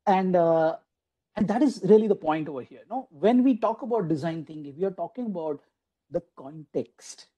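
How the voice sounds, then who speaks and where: A man's voice: high voice, animated, moderate pace, warm tone. A man giving a conversational online lecture to teachers, with many restarts and "you know" asides, over a video call. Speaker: guest lecturer at a faculty development program.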